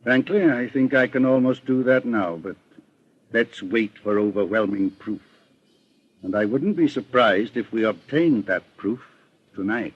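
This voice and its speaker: An actor with an American accent playing a shrewd, calm, authoritative middle-aged private detective in an old-time radio drama, speaking deliberately. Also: male voice, medium pitch, noisy background, natural voice. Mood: measured, assured. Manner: measured, deliberate, composed. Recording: muffled vintage American radio recording.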